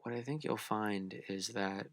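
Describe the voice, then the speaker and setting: A man leading a beginners' guided meditation, speaking gently and unhurriedly with long pauses. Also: lowish voice, dry recording.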